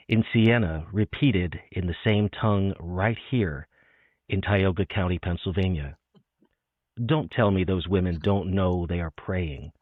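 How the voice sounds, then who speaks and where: Male poetry host, very low voice, thin phone-like audio. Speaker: interviewer and host. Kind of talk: literary chat and poetry reading. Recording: echoey, band-limited phone-like sound.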